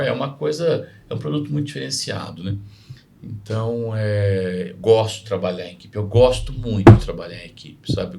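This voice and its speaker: A man with a Mineiro accent, a physician guest on a health podcast, whose voice is gravelly and sits a little low. He talks in a loose, rambling way, often checking in with the listener and joking at his own expense. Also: darkish tone. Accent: Mineiro Brazilian Portuguese. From Minas Gerais, Brazil. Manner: rambling, frequent tag questions, self-deprecating humor. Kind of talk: casual podcast conversation.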